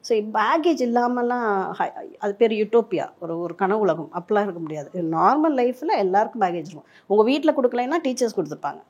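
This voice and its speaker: A woman's voice: mid-range voice, lively intonation, fast talker, a little mellow, husky, dull audio. A woman speaking as a life coach in an interview, explaining emphatically with rhetorical questions and examples, mixing in English words. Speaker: life coach giving relationship advice.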